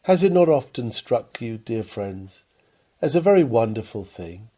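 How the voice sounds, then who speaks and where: A man reading an old-fashioned sermon aloud in an earnest, rhetorical manner with deliberate phrasing. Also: medium pitch, big pitch swings, warm tone, husky. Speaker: sermon reader.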